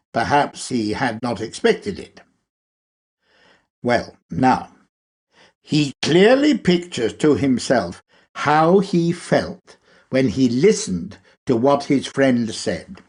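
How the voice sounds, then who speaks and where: A man reading a spiritual lecture aloud in a measured, emphatic manner. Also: mid-range voice, breathy edge. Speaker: reader of a lecture.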